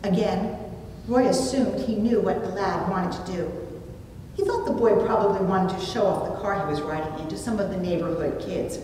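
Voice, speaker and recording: A woman's voice: normal speed, dark tone. Echoey, distant woman preaching. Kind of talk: sermon with storytelling, read from notes. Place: small indoor church room, at a pulpit. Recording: distant mic, very echoey room, rumbly noise.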